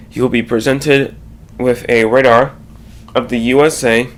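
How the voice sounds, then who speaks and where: A man narrating a scripted slide presentation in a halting way, often stumbling and correcting himself. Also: medium pitch, a little hiss or hum.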